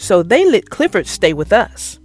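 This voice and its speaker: A woman narrating a children's picture book as an expressive, theatrical read-aloud. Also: medium pitch, unhurried, faint music behind.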